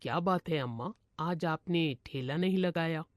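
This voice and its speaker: A woman voicing a kindly, calm father with a measured, reassuring way of counselling. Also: low voice, no room sound, clean studio sound, lightly acted.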